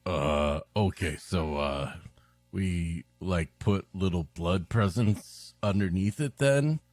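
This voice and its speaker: A man voicing a confused, hesitant pagan villager in a halting, casual way for a comedy story. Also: deep voice, a little mellow, no room sound, soft background music, voice pushed down. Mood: puzzled. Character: confused pagan villager keen on blood sacrifice.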